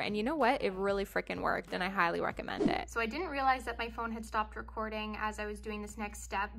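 A woman's voice: a little high, a bit bright, slight background noise. Chatty young woman narrating her cooking. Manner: chatty, self-deprecating, upbeat. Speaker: cooking vlogger. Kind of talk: casual voice-over narration. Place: home kitchen.

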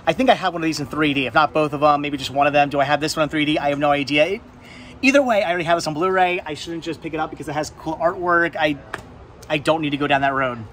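Guy vlogging in his 30s, kinda high voice, excited rambly chat, noisy indoor background. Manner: enthusiastic, rambling, halting repetition of words. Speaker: vlog host. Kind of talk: casual chatty vlog narration. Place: large indoor flea market and warehouse loading area. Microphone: handheld camera at arm's length.

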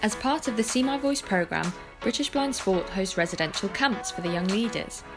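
A woman reading scripted documentary narration in a measured, clear way. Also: medium pitch, expressive. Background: background music.

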